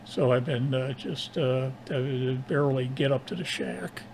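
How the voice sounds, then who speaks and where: A man with a tired, strained voice talks casually and haltingly as an amateur radio operator. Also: medium pitch, steady tone, vocal fry, a little mellow, a little hiss or hum, low-quality recording. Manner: halting, pausing often mid-sentence. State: tired, strained voice after nearly losing it. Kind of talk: casual ham radio conversation.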